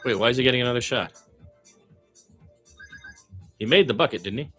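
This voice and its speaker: A man with a mid-pitched voice calls basketball play by play in a radio style. He talks like an amateur fan at the mic, conversational and opinionated between the plays. Faint music sits behind his voice. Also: fairly quick, bright, forceful tone.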